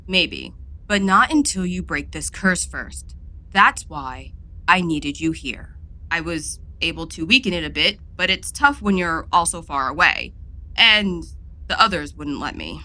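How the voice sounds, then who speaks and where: A woman's voice: lowish voice, lively intonation, pushed and bright, low-quality recording. A woman playing a solemn, knowing mystical guide who speaks firmly and earnestly in an audio drama. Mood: grave. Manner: measured, firm, earnest.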